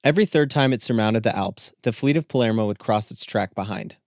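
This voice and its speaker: A man narrates a literary audiobook in a fairly low voice. His phrasing is measured and deliberate. The sound is dry, with no room echo.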